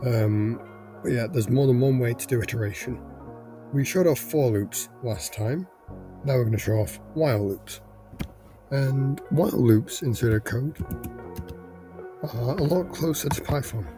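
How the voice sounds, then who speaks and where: A man with a cold, his voice hoarse and congested, explaining programming in a rambling casual tutorial. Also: medium pitch, a little slow, pauses often, warm tone, faint music behind. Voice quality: gravelly, congested. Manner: rambling, restarts with fillers.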